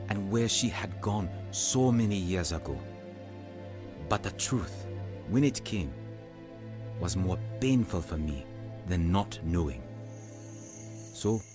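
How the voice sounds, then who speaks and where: An actor playing an earnest, self-doubting African evangelist nearing forty, telling his testimony in an audio drama in a measured, confessional way. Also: sits low. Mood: pained, confessional. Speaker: narrator and lead character in an audio drama.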